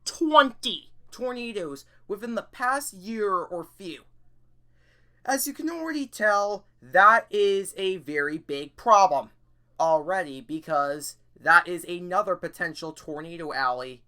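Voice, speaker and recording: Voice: medium pitch, expressive, a little slow, bright, forceful tone. An earnest, emphatic YouTuber giving semi-scripted commentary on an issue. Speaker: YouTuber presenting an issue video.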